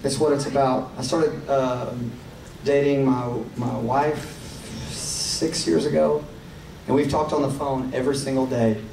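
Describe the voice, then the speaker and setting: A man's voice: medium pitch, steady tone, measured pace, darkish tone. A man in his thirties with a Southern Tennessee accent, preaching a casual, joking live sermon that swells into fervor. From Tennessee, USA. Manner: casual, joking, drawn out, rising to fervent. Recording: echoey hall, distant mic, room noise.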